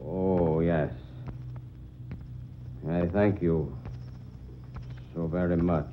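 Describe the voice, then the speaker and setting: Ponderous, very slow giant caveman. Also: low voice, steady tone. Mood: ominously polite. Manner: halting, ponderous, excessively polite. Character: hulking, ape-like, eight-foot revived Neanderthal man, slow and courteous. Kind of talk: 1940s radio horror acting. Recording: dull, faintly noisy old radio recording.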